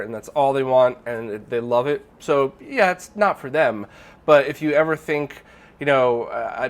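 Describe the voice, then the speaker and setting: A man hosting a casual viewer Q&A, sounding nasal and congested from a recent illness, rambling with lots of fillers and restarts. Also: mid-range voice. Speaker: channel host. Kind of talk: casual Q&A monologue.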